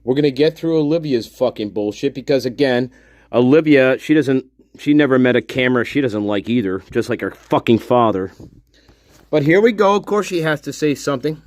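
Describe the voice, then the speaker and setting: A man's voice: medium pitch, expressive, brisk, darkish tone. A man hosting a livestream, giving profane, heated commentary and repeating himself as he rants about a video he is playing. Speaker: livestream host. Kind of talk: ranting commentary on a played video.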